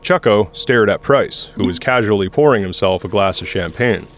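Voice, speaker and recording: A man's voice: lowish voice, moderate pace, fairly bright tone, faint music behind. A man reading scripted third-person narration for an audio drama.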